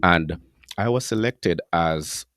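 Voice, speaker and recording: Man, podcast host, very deep pitch, casual interview talk, dry close sound. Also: slow pace, a bit bright, no echo.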